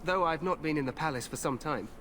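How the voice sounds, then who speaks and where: A man's voice: fairly high pitch, fast, fairly bright tone, a little hiss or hum, lightly acted. An actor playing a cheerful, overconfident young human king, speaking in a hearty, regal way.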